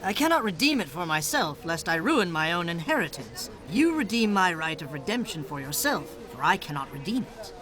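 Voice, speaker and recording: A man playing a hesitant, bright-voiced kinsman. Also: a little high, big pitch swings, fairly quick, hardly any pauses. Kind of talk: dramatized audio Bible reading.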